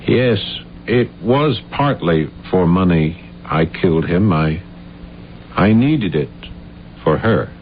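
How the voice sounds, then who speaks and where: Deep-voiced, guilt-ridden failed playwright, old radio drama. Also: male voice, animated. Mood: grave, guilt-ridden. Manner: halting, intense, stammering when flustered. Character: earnest, guilt-ridden failed playwright confessing to his friend. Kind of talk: 1970s radio drama acting. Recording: dull, noisy old radio recording with hum.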